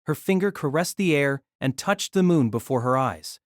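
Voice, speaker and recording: A narrator reading a novel aloud in an expressive storytelling manner. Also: mid-range voice, normal speed, no room sound, studio quality.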